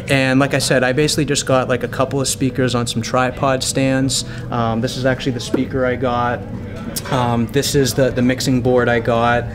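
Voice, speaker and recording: A man's voice: medium pitch, noisy background. A man in his thirties giving casual, conversational training to camera in an informal, rambling way. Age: 30s. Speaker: paint party business owner giving training. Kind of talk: casual instructional talk to camera. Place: small restaurant dining room, indoors.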